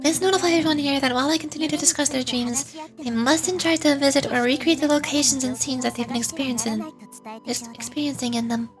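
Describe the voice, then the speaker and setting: A woman's voice: high voice, fairly even intonation, quick pace, over music, natural voice. A woman voicing a small, youthful, earnest fairy girl in video game dialogue. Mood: grave.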